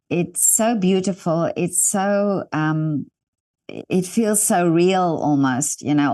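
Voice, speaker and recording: A woman from South Africa, an Afrikaans native speaker with a South African accent, hosting an interview and talking in an encouraging, rambling way. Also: fairly low pitch. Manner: warm, encouraging, rambling with restarts. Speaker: interview host. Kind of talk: interview conversation.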